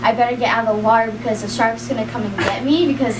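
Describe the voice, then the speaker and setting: A woman's voice: a little high, fairly even intonation, fast talker. Rambling young woman telling a story. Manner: rambling, full of "like" and laughs. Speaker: storyteller guest. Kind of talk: casual storytime. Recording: roomy, distant, noisy.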